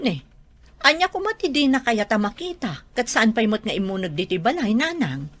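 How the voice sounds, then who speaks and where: A woman's voice: a little high, very animated, normal speed, a bit bright. A woman with a Filipino Ilocano accent playing a matter-of-fact, middle-aged mother in a radio drama. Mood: dismissive.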